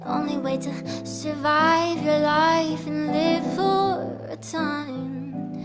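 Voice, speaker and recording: A woman's voice: high voice, steady tone, extremely slow. A woman singing in a loose, improvised style as a musician in a live performance. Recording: loud instrumental music around the voice. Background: loud live music.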